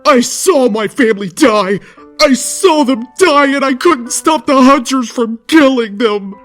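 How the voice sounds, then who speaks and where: A man's voice: very high-pitched, expressive, unhurried, a bit bright, soft background music, pitched above the performer's own voice, heavily acted. A man voicing a hot-headed, distraught young fantasy warrior in a story reading.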